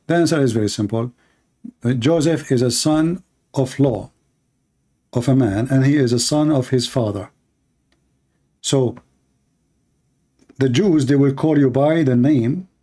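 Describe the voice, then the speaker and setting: A man whose first language is Arabic, hosting a live call-in debate and questioning callers bluntly, with halting pauses and fillers. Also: medium pitch, dark tone.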